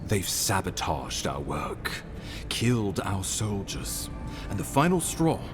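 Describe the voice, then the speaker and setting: An actor playing a grave imperial official in a video game, speaking formally and deliberately with authority. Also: male voice, low-pitched, sing-song, over music, heavily acted. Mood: grave, resolute. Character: grave, commanding imperial official briefing an envoy.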